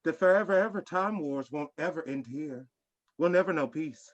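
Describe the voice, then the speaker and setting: An actor playing a grandiose, dramatic scientist in a sci-fi screenplay reading. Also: male voice, on the high side, moderate pace, highs cut off, natural voice. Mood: menacing. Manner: grandiose, dramatic, jargon-heavy. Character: Professor Bold, grandiose scheming sci-fi scientist.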